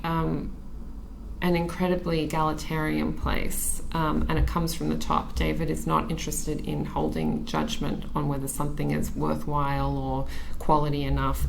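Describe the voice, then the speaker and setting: A woman's voice: sits low, vocal fry, a little hiss or hum, low-quality recording. A woman in her thirties from Australia, a magazine editor talking as a podcast guest, earnest and thoughtful, with frequent ums and restarts. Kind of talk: relaxed conversational interview.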